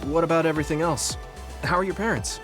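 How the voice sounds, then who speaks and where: A man's voice: a little high, fast, over music, natural voice. An actor voicing an earnest, kind young male soldier in an anime game, sincere and a little hesitant. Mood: friendly, sincere. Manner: sincere, hesitant, easily flustered.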